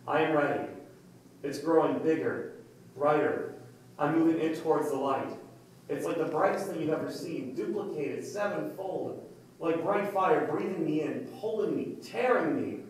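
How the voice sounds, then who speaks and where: A man acting an earnest, haunted man in a hypnotic trance, speaking deliberately and intensely in a staged play reading. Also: on the high side, natural voice. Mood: awestruck, rapt. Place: indoor room, staged reading recorded from afar. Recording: very echoey room, distant mic, faint noise.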